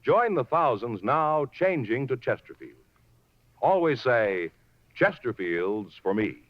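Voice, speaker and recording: A man's voice: mid-range voice, sing-song, slow pace, slight background noise. Vintage radio announcer, male: formal, emphatic, very varied intonation, muffled 1950s broadcast sound. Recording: dull 1950s radio recording.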